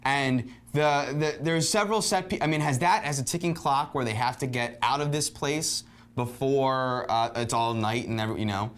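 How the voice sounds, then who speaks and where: A man hosting a film review show in casual conversation, often halting as he searches for his words. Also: medium pitch, fairly bright tone, clear, resonant tone.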